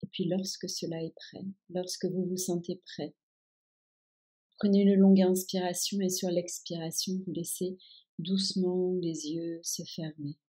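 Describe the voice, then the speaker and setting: Female meditation guide, low-pitched and very unhurried, calm and soothing delivery of relaxation instructions. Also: slightly rough. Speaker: yoga nidra guide.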